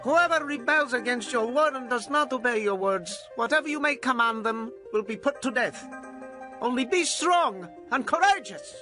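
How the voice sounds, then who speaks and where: A man's voice: extremely high pitch, animated, moderate pace, over music. An actor playing a loyal, fervent Israelite tribesman in a dramatized scripture reading, emphatic and declamatory. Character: loyal Israelite tribesman pledging fierce obedience.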